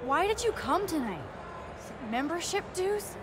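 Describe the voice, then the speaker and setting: High soft female voice, worried protective teen student, hesitant, earnest, game cutscene, clearly noisy background. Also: sing-song, measured pace, heavily acted. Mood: concerned. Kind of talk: video game cutscene dialogue.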